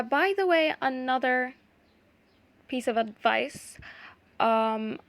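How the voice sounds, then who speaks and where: A young woman whose first language is Arabic, talking through a craft tutorial in a hesitant, casual way with many pauses and restarts. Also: a little high. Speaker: art vlogger.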